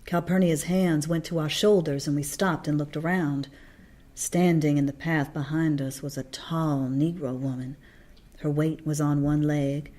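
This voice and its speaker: A woman narrating a novel as a read-along, in an expressive storytelling style. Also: low-pitched, a little slow.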